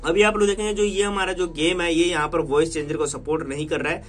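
A man's voice: fairly high pitch, steady tone, quick pace, a bit bright, soft background music. A man with an Indian accent narrating a casual hands-on tech tutorial, explaining repetitively and mixing in English words. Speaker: tech vlogger demonstrating a phone feature.

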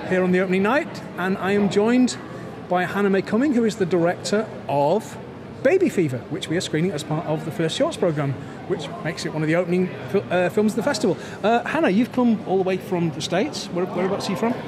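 A man's voice: sits high, animated, a little fast. Older man interviewing amid crowd chatter. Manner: rambling, many restarts. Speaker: festival interviewer. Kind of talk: casual interview. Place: festival media wall, indoors, busy. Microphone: handheld mic. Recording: noisy crowd around.

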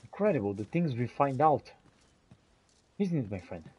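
A man's voice: mid-range voice, sing-song, normal speed, a little mellow, breathy edge, muffled recording. A man doing in-character gameplay commentary, exclaiming and talking to himself and his game companions. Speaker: gamer playing in character.

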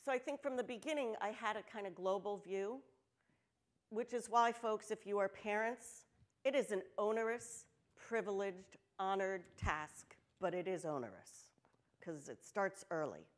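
An older woman from New Jersey giving a conference talk on an auditorium stage, candid and lively, with joking asides. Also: medium pitch, a little slow. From New Jersey, United States. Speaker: conference speaker. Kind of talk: storytelling keynote talk. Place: stage of a large auditorium with audience.

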